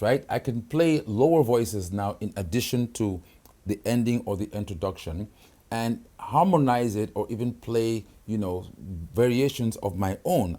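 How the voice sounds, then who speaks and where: A man's voice: fairly low pitch, mellow. A man giving a hands-on keyboard tutorial, explaining each feature step by step and checking in with the viewer often. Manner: explanatory, checks in with "okay?" and "right?". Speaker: product demonstrator.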